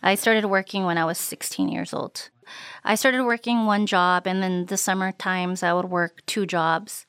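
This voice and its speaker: A woman born in Mexico recalling her life in an interview, reflective and unhurried with frequent ums and uhs. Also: on the low side, steady tone, fairly bright tone, clear, resonant tone, no room sound. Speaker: interviewee, immigrant rights advocate. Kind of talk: recorded interview recollection.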